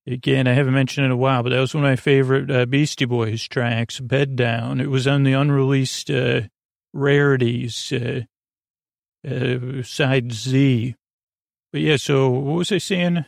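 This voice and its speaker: A man with a creaky voice hosting a sleep podcast, meandering haltingly and goofily through a bedtime monologue and often interrupting himself. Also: mid-range voice, steady tone, no echo, clean studio sound. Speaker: podcast host. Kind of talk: rambling bedtime monologue to help listeners sleep.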